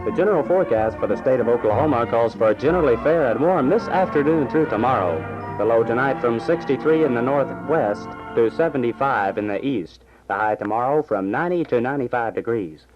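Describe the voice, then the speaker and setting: A man reading scripted news and announcements as a 1960s radio newsreader, formal and measured. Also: mid-range voice, lively intonation, over music. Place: radio station studio. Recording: dull lo-fi vintage AM radio recording.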